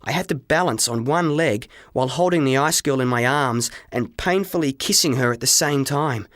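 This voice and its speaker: A male storyteller reads a first-person story in a dramatic, expressive way. His voice sits at a middling pitch. The sound is very dry, with little room around it. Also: normal speed, no room sound. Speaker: audiobook narrator.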